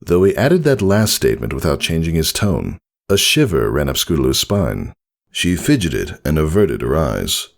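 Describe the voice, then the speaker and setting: Low-voiced man narrating a story. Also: very animated, normal speed, gravelly. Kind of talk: scripted fan fiction narration.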